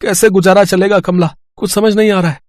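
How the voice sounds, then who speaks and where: A man's voice: sits high, steady tone, fairly quick, a little mellow, dry recording, natural voice. Guy playing poor dhaba owner in a moral story, plaintive and earnest, dry close sound. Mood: worried. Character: poor, worried, kind-hearted male roadside eatery owner. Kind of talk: dramatised moral story acting.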